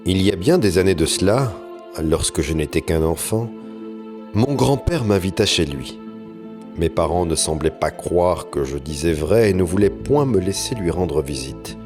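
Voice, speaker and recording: A man reading a short story aloud in first person, measured and literary. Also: deep voice, lively intonation. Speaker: reader. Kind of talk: scripted storybook narration. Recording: narration over music. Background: music.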